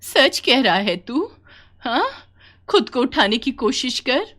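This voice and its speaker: A woman's voice: high voice, sing-song, a bit bright, pitched above the performer's own voice, full character voice. A woman voicing a sorrowful old village grandmother, tender and plaintive, in a children's story. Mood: hopeful. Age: older. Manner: drawn-out, plaintive pleading.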